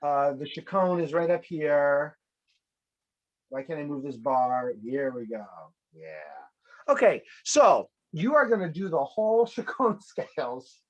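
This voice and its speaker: A man's voice: a little high, very animated, slow pace, highs cut off. Playful man hosting over a video call. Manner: playful, teasing, rambling with restarts. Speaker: host. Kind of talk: casual video-call game show hosting.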